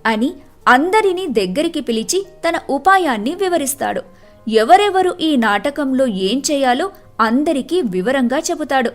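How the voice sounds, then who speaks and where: A woman's voice: high-pitched, animated, measured pace, soft background music. A woman reading scripted narration for a moral tale as the storyteller.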